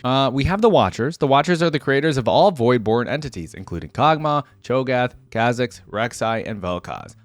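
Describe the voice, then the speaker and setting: A man's voice: mid-range voice, no room sound. A young man hosting a casual reaction video, reading a wiki aloud and stopping to react and chuckle. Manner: chatty and musing, breaks off reading to react, chuckles.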